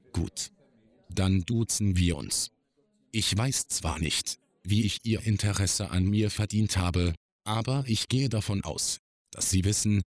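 An actor playing a wry, self-reflective man giving a deliberate, formal monologue in an audio drama. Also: sits low, no room sound.

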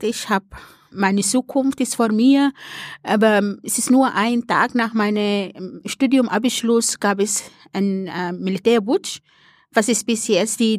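An older woman from Sudan speaks German as a radio studio guest. She talks earnestly and haltingly, often stopping to search for words. The recording is close and dry. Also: medium pitch, no room sound. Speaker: interview guest.

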